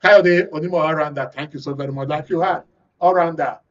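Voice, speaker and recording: A Nigerian man hosts a studio panel discussion. His voice sits on the high side. The sound is somewhat dull and a little distant from the microphone. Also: steady tone, fairly quick. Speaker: radio and TV talk show host. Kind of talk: live panel discussion hosting. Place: radio and TV studio.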